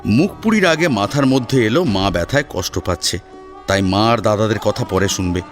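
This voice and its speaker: A man's voice: lowish voice, expressive, moderate pace, soft background music. A man narrating a scripted story in a dramatic storytelling style.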